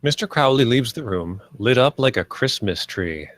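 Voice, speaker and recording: A man's voice: medium pitch, lively intonation, normal speed, a bit bright. A man reading out stage directions and scene headings as narrator at a film table read.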